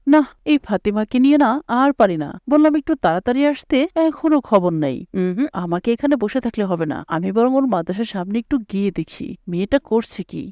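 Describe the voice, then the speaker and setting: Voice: medium pitch, expressive, brisk, a little mellow, dry recording, lo-fi sound, close to an everyday voice. An actor voicing a poor, caring young brother in a moral cartoon, earnest and consoling in manner. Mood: impatient. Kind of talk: Islamic moral cartoon dialogue.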